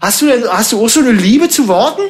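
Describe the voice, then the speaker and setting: High-pitched animated man with rambling delivery. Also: fast talker. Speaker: radio host. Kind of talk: improvised banter in a radio play. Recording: music behind the voice. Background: music.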